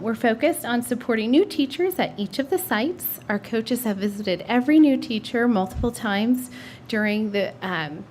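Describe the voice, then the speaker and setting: A woman's voice: a little high, a little mellow, a little hiss or hum. A woman who is a school district administrator, giving a formal update at a board meeting and reading steadily from notes with occasional ums. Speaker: district instructional services administrator.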